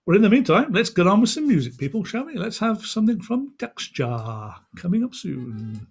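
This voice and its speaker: A man with a fairly high-pitched voice hosts an online event. He talks informally and off the cuff, in a loose, chatty way over a video call. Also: very animated, normal speed, gravelly, breathy edge. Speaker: co-host, magazine editor and co-founder. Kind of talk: casual unscripted event hosting.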